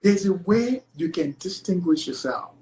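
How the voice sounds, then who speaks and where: A young man with a thick Nigerian accent, a lawyer talking as an interview guest on a video call, animated and full of fillers. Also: sits high, measured pace, a little hiss or hum, low-quality recording. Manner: animated, chatty, many fillers like "like" and "you know". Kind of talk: casual interview conversation.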